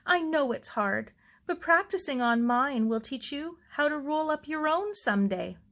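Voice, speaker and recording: Woman acting a gushing, high-voiced young bride-to-be. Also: lively intonation, normal speed, a bit bright. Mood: encouraging. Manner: gushing, effusive. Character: excited, affectionate young bride-to-be, gushing and dramatic. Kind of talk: children's novel read aloud.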